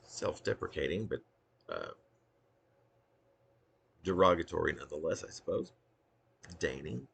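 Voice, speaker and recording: Male poet, low-voiced and husky, for a wry, dramatic reading of humorous autobiographical verse. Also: animated, unhurried, pauses often, fairly bright tone. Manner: theatrical, wry, with emphatic swoops. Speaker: poet reading own work.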